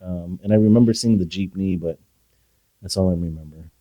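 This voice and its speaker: Soft-spoken deep-voiced man, hesitant. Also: no room sound, muffled recording. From Philippines. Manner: soft-spoken, hesitant with many pauses and fillers. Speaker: podcast guest. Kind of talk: reflective personal storytelling.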